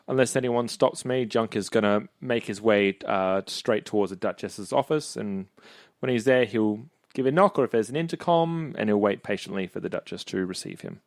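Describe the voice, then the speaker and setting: Low-voiced man playing a tabletop rpg. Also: normal speed, gravelly, no echo, clean studio sound. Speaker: player in a tabletop roleplay game. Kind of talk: improvised roleplay and describing his character's actions.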